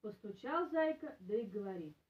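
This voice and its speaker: A woman in her thirties reading a children's folk tale aloud in an expressive storytelling style. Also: mid-range voice, normal speed. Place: small indoor room with bare walls. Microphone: camera a few metres away. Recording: roomy, distant, muffled camera microphone.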